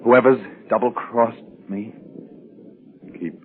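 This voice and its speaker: An actor playing a desperate, badly injured, greedy crook in a strained voice, in 1940s radio suspense style. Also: male voice, medium pitch, steady tone, slow, slight background noise, lightly acted. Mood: bitter, disbelieving. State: badly strained, struggling for breath. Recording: muffled vintage 1940s radio, faint music behind.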